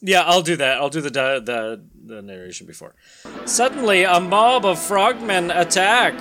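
A man's voice: high-pitched, expressive, moderate pace, pushed and bright, faint music behind. A man hosting a comedic dramatic reading of a comic, switching between mock-dramatic narration and casual, jokey asides. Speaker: podcast host and narrator.

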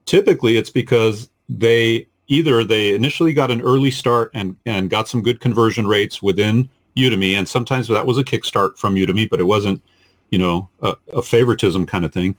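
Low-pitched man explaining analytically. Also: brisk. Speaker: podcast co-host and online course instructor. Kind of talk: casual panel discussion.

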